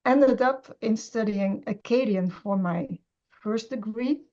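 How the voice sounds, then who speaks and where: A middle-aged woman talks as an academic guest in an interview. She hesitates often and restarts sentences, in a mellow, warm voice. The sound is dull and lo-fi, as over a video call. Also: a little high, slow. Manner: hesitant, many fillers and restarts. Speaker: interview guest, scholar of Semitic languages. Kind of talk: conversational interview.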